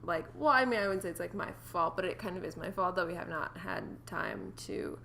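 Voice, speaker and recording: A woman's voice: lowish voice, big pitch swings, brisk, gravelly, pushed and bright, a little hiss or hum. A young woman co-hosting a podcast, chatting casually with lots of fillers and laughs. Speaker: podcast co-host. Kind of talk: casual chat. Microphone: desktop USB microphone.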